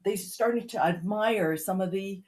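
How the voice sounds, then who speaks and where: A woman's voice: mid-range voice, moderate pace, a little mellow. A woman guest on a podcast, a mother talking cheerfully and laughing often about cheering her kids on. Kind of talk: casual interview chat.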